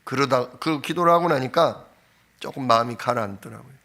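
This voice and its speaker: A man preaching in a conversational style, telling a personal story informally, slightly hoarse from a cold. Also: medium pitch, fairly quick, bright, forceful tone. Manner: anecdotal, informal storytelling with frequent fillers. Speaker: pastor. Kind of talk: conversational sermon. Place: church sanctuary.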